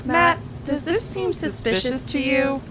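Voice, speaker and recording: A woman playing a sassy, no-nonsense diner waitress in a comedy audio drama, folksy and brisk in manner. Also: high-pitched, heavy background noise. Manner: folksy, brisk, calls people hun.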